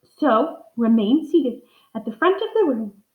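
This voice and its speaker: A woman reads as a storybook schoolteacher with a raised pitch and a clear sense of order. She is kind and cheerful but firm, heard in a roomy, slightly muffled recording. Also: expressive, measured pace. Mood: kindly.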